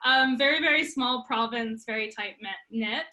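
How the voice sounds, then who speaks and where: Woman, 30s, PEI accent, bright and fairly high, giggly casual interviewee. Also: normal speed. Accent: Prince Edward Island. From Prince Edward Island, Canada. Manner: giggly, laughs often. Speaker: girls' program coach.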